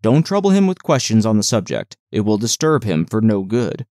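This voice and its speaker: A man's voice: lowish voice, very animated, normal speed, a little mellow, dry recording, studio quality. A man voicing a kindly servant woman who soothes and explains things to a child in an audiobook. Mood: soothing. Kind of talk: audiobook character dialogue.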